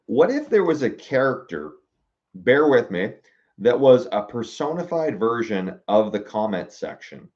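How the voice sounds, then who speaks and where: A man's voice: medium pitch, big pitch swings, a little mellow, highs cut off. A young man hosting a casual livestream, reading out viewer suggestions and thinking aloud with fillers and restarts. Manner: musing aloud, reading comments, halting with fillers.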